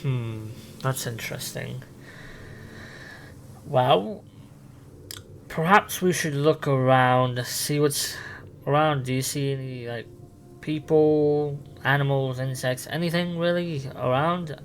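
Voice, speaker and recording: A man's voice: medium pitch, slow, pauses often, slight background noise. A man casually improvising a roleplay conversation, hesitant and rambling. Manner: hesitant, rambling, with many "like" fillers. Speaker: player roleplaying with an AI character.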